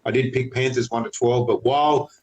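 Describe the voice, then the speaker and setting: A man's voice: medium pitch, fairly even intonation, a little fast, a little mellow, lo-fi sound. A man with a stuffy head cold, co-hosting a casual sports podcast from a hotel room, chatty and self-mocking. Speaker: podcast co-host. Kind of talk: casual sports tipping chat.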